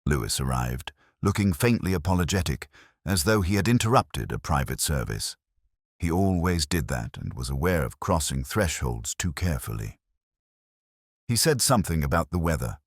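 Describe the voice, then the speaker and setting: Very deep-voiced brooding male narrator. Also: very animated, moderate pace, no echo, clean studio sound. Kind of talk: first-person scripted detective story narration.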